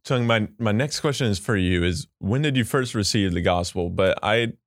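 Low-voiced man hosting a podcast. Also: moderate pace, dry recording, studio quality. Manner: earnest, leads the questions. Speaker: podcast host. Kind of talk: casual podcast chat.

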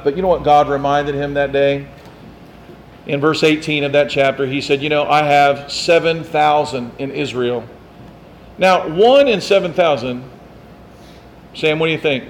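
Conversational pastor preaching, fairly high voice. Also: male voice, slight background noise. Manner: conversational preaching, asks the congregation questions, teases listeners. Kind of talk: sermon.

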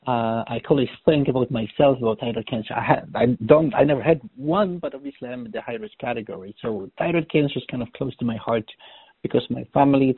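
A man's voice: medium pitch, slightly rough, no echo. A man from Poland with a Polish accent gives an informal medical webinar talk as an oncologist, often rephrasing as he goes. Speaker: oncologist presenting. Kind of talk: webinar presentation. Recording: thin phone-like webinar audio.

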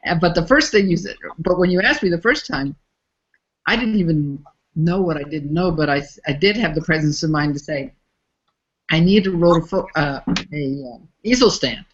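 A woman's voice: low voice, normal speed, highs cut off. A middle-aged woman from the USA, a facilitator, talking through her work in a conversational interview with frequent restarts.

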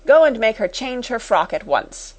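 A woman's voice: mid-range voice, sing-song, measured pace. Woman acting a fierce, domineering schoolmistress. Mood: furious, commanding. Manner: fierce, bitterly ironic, commanding. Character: furious, cold, domineering middle-aged schoolmistress.

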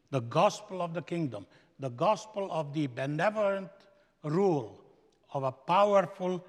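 A man with a Slovenian accent, a guest speaker giving a slow, emphatic sermon in a seminary chapel. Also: fairly high pitch. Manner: slow, emphatic preaching with stretched-out phrases. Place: large chapel. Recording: echoey hall with long reverb.

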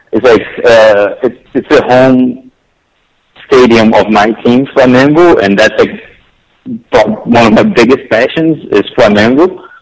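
A man from Brazil, a filmmaker guest, answering interview questions in a halting way with many fillers. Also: mid-range voice, poor audio.

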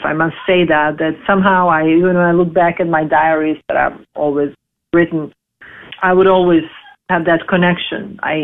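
A woman in her forties from Serbia, speaking English, telling her life story in an interview with halting, filler-heavy speech. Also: low voice. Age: 40s. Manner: halting, many fillers and restarts. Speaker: interview guest. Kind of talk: casual interview, personal story. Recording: tinny phone line.

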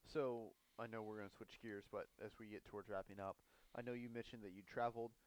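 A man's voice: on the low side, level tone, fairly quick, rough recording. A man hosting an interview podcast, giving short, friendly prompts and steering the talk with questions. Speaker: podcast host.

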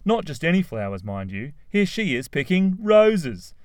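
A man voicing an excitable, self-dramatizing young male poet who tells his story in a gushing, animated way. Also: fairly high pitch, a little slow, no room sound, clean studio sound, voice pushed up. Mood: excited.

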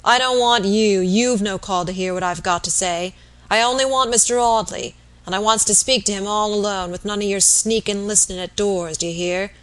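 A coarse, dying English innkeeper, acted out by a woman reader, growls hoarsely in rustic dialect. He is surly and bullying, pushing his words out louder and higher, as a storybook villain would. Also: normal speed, close to an everyday voice, rough recording. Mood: surly, commanding. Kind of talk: audiobook character voice.

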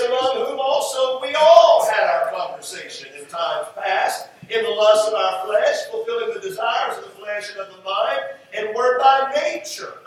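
An American man delivers a fervent sermon in a high voice. He leans hard on key phrases and repeats them for emphasis. The sound is very reverberant and picked up from a distance. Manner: emphatic, repeats phrases for effect, drawn-out stresses. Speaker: preacher. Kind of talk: fervent sermon with scripture reading. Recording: roomy echo, distant mic, faint noise.

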